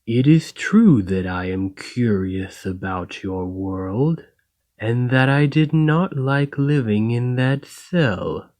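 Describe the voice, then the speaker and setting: A man playing an escaped golem in an ASMR roleplay, a coldly logical, polite and self-serving captor with formal, measured, detached phrasing. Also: mid-range voice, expressive, a little mellow. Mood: detached, curious.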